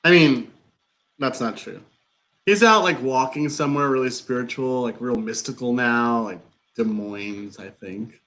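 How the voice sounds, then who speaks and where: A man's voice: mid-range voice, a bit bright, lightly acted. Guy acting a nervous awkward dude in a play reading, stumbles along and overexplains everything. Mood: nervous, evasive. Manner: halting, nervous, over-explaining. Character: awkward, rambling man confessing a long-held crush. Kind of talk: live play reading, naturalistic dialogue.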